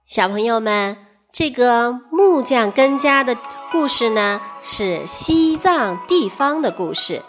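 Expressive, unhurried woman storyteller. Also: on the high side, over music. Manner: expressive, addresses young listeners. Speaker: children's storyteller. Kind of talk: scripted fairy tale narration.

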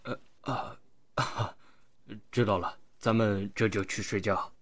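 A man's voice: on the low side, steady tone, slow pace, a bit bright. An actor playing an easygoing, placating male investigator who explains things patiently in an audio drama. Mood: flustered.

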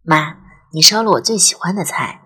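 A nineteen-year-old girl , played by a woman, playful and affectionate in an audio drama. She speaks with lively swings of pitch and a breathy edge over music. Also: moderate pace, full character voice. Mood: delighted. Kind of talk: audio drama character dialogue.

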